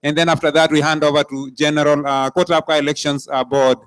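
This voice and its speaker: Man emceeing, smooth raised voice. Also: fairly high pitch, little pitch movement, fairly bright tone. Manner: hesitant, filling pauses with uh. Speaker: master of ceremonies. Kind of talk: event announcements.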